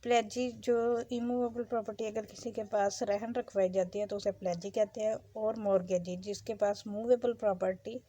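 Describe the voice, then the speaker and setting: A woman with a Pakistani accent speaks as a law teacher. Her voice is mellow and dark-toned, at an ordinary middle pitch. She lectures in an explanatory way, working through formal legal text. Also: fairly even intonation, moderate pace, few pauses.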